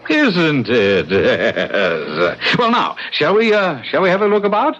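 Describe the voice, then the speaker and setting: Courtly, sly English gentleman, swooping delivery. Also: a little high, wildly swooping pitch, slow pace, exaggerated voice. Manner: effusive, mannered, fussy, with sly hesitations and chuckles. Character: eccentric, courtly, faintly sinister English gentleman landlord. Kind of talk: 1970s radio drama acting. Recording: dull, lo-fi vintage radio recording.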